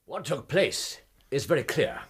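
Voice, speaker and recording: An actor playing a keen, commanding Victorian English gentleman detective in a radio drama, precise and theatrical in his delivery. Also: a little high, lively intonation, a little slow, slight background noise. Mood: eager, insistent. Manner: theatrical, precise, swinging from exclamation to murmur. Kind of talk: BBC radio drama acting.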